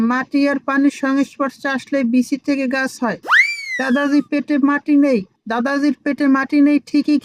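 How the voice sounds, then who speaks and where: A cheeky little cartoon boy, voiced by an actor, speaks high and fairly evenly like a know-it-all. He sounds sure of himself as a tiny village doctor, over clear background noise. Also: natural voice. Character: cheeky, cocky five-year-old village boy playing doctor. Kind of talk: comic cartoon dialogue.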